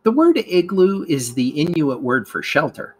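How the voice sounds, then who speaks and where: A man's voice: on the high side, sing-song, moderate pace, husky. A man narrating a scripted educational lesson as a teacher reading aloud.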